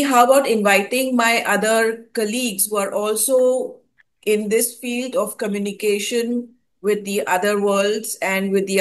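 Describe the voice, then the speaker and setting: A woman from India hosting a conversation, recounting a meditative experience with frequent hesitations and fillers. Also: medium pitch. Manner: hesitant, many fillers and restarts. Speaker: host. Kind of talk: casual spoken account of a spiritual experience.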